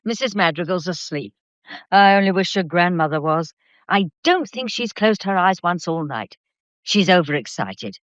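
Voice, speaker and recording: Woman acting businesswoman, brisk, earnest, protective, radio drama, very varied pitch, muffled sound. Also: animated, no echo, close to an everyday voice. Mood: concerned. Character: loyal, anxious, stubbornly protective businesswoman in a mystery.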